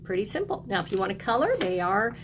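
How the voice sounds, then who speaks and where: A woman's voice: mid-range voice, very animated, fast talker, background noise. A woman teaching young children, encouraging them in short, simple phrases as she reads aloud and explains. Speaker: early elementary teacher.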